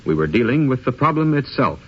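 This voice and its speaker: Husky male 1950s radio announcer. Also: mid-range voice, sing-song, a little fast. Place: radio studio. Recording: dull 1950s radio recording.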